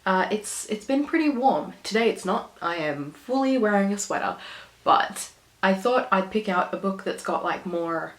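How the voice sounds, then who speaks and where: A young Australian woman chatting casually about books to camera, a bit hoarse from illness, rambling and laughing often. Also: medium pitch, lively intonation, normal speed. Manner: chatty, giggly, rambling with fillers. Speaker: book vlogger. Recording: echoey room.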